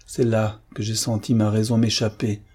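A man voicing a tormented, half-mad old villain in a dramatic audiobook, halting and pleading, with pauses and repetitions. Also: lowish voice, little pitch movement, mellow, slightly rough. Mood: sorrowful, pleading. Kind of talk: dramatic audiobook character reading.

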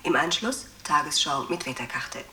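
A woman's voice: low-pitched, expressive, normal speed, pushed and bright. A woman playing a courteous, composed television announcer reading out the evening's programme in a formal scripted style. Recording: roomy, distant, faint noise.

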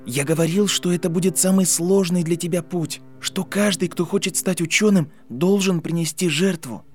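A man's voice: high-pitched, normal speed, darkish tone, voice pushed up, natural voice. A man voicing an articulate, insistent boy wizard who reasons like a scientist, in an audiobook with music. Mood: defensive, apologetic. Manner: reasoning, lecturing, intense.

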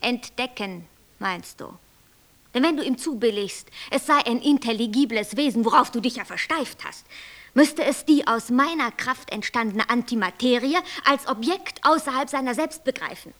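An actress playing a stern, cerebral angel and creator in a radio play, speaking with precise, lecturing authority. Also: female voice, a little high, animated, moderate pace, fairly bright tone. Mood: analytical.

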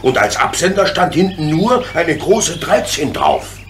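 An actor playing a sensible, hearty locomotive driver with a creaky voice and plain-spoken manner in a children's radio play. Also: male voice, fairly high pitch, sing-song, measured pace, slight background noise. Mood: intent.

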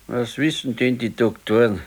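A man's voice: medium pitch, fairly even intonation, moderate pace, lightly acted. An actor playing a discontented, regretful husband in his sixties in a naturalistic radio play, talking plainly with a Bavarian accent. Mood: brooding regret. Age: 60s. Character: discontented, regretful ailing 68-year-old husband. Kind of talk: naturalistic 1970s radio play dialogue in dialect.

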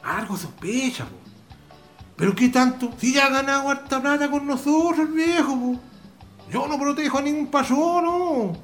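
Radio drama: old rural Chilean peasant father played by a man, proud, affectionate, lively swooping pitch, music underneath. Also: moderate pace, full character voice. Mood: proud, insistent. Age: older.

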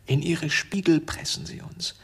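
An actor playing a lovestruck, bewildered dreamer in a radio drama, soft and often near a whisper. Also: male voice, on the high side, steady tone, measured pace, husky. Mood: dazed, musing. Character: yearning, bewildered male dreamer in love. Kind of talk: German radio drama acting.

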